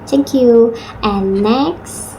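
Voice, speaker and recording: Slow, hesitant woman. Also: fairly high pitch, warm tone, a little hiss or hum, low-quality recording. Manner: slow, halting, with many uh fillers. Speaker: student. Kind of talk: class presentation on pronunciation.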